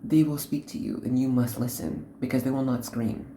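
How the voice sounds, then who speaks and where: Very low-voiced woman giving a tarot reading. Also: fairly even intonation, mellow, roomy sound, a little hiss or hum. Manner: earnest, instructive, halting delivery in short phrases. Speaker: host, tarot card reader. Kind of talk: unscripted tarot reading addressed to the viewer.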